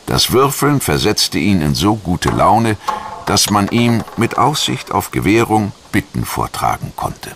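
A man narrating a scripted history documentary in a measured, articulate storytelling manner. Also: on the low side, sing-song, noisy background.

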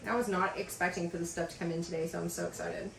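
A woman in her thirties chatting in a casual vlog as she unboxes packages in a home office, gushing and excited, with lots of fillers. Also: on the low side, fast, vocal fry, recorded from a distance, a little hiss or hum. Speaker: vlogger. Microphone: camera across a desk. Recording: roomy sound from off the mic.

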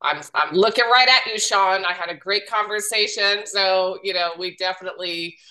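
Bright, upbeat woman on a headset call. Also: mid-range voice, moderate pace, low-quality recording. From Norway. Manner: upbeat, enthusiastic. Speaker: guest, business development manager. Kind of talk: casual webinar talk. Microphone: headset on a video call.

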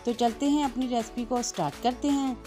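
A woman's voice: fairly high pitch, steady tone, fairly quick, warm tone, soft background music. A woman, hosting a cooking channel and narrating each step politely in a voice-over. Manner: step-by-step, polite, religious greetings.

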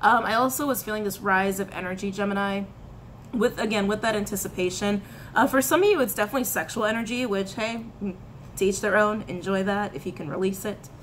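A woman's voice: mid-range voice, a little fast, slight background noise. A woman in her thirties, giving a casual tarot reading to camera in a small room, talking with lots of gestures and repeated phrases. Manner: chatty, animated hand gestures, frequent laughs and repetitions. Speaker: tarot reader. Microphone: camera in front, head and shoulders framing.

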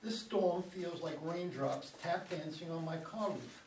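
A man's voice: fairly high pitch, unhurried, a little mellow. An older man playing a weary, uneasy driver, reflective and wry, in a staged play reading. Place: meeting room, around a table. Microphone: far camera. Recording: distant mic, echoey room, background noise.

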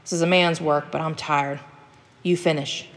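Woman playing a gruff, cruel old hunter. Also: deep voice, steady tone, moderate pace. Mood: bossy impatience. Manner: gruff, scolding, folksy rural phrasing. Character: angry, cruel older male hunter berating his son.

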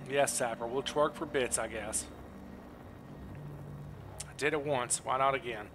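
A man's voice: mid-range voice, steady tone, measured pace, background noise. A middle-aged man giving casual livestream commentary on a game, close on a headset mic. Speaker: game streamer. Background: game music.

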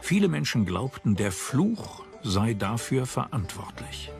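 A man's voice: medium pitch, very animated, a little slow, vocal fry. A man reading scripted narration for a documentary as its narrator. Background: background music.

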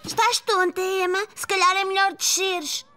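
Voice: very high-pitched, little pitch movement, moderate pace, bright, forceful tone, soft background music. A performer voicing an anxious, caring small child in a children's cartoon dub, with a European Portuguese accent. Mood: worried. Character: anxious, caring four-year-old boy and his little playmates.

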